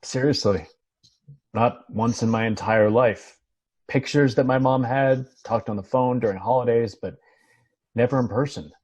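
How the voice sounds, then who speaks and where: A man's voice: mid-range voice, moderate pace, a little mellow, breathy edge, lightly acted. A man reading the part of a melancholy, hesitant married man in an understated TV drama table read. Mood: subdued, wistful.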